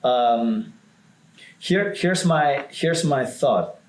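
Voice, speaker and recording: Spanish-accented man, halting, echoey room. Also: medium pitch, lively intonation, darkish tone, a little hiss or hum. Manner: hesitant, many restarts and fillers. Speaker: startup founder. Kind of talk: video call interview. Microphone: video call webcam. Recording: echoey room on video call.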